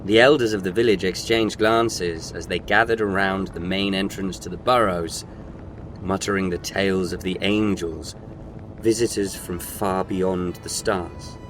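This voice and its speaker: A man's voice: deep voice, fairly even intonation, measured pace, noisy background. A man reading scripted narration for a short audio story.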